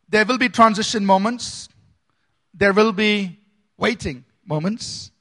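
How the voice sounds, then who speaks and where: A man from India, a pastor preaching a sermon with dramatic swings between loud emphasis and soft asides. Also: high-pitched.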